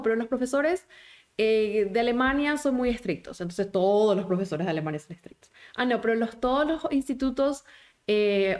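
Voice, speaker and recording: A woman, a German teacher in a live online class who talks with lively encouragement and asks her viewers many questions. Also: on the high side. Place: small home office indoors.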